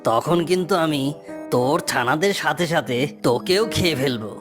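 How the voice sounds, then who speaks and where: A sly, greedy fox from a children's cartoon , played by a woman, speaks in a low register. The manner is scheming and threatening, a bully hungry for prey. Music plays clearly behind the voice. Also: normal speed, lightly acted. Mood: menacing. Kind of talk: children's fairy-tale cartoon voice acting.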